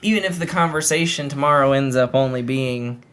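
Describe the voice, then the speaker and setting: A man's voice: mid-range voice, a little fast, fairly bright tone, clear, resonant tone. A male podcast co-host in casual chat, laid-back and laughing easily.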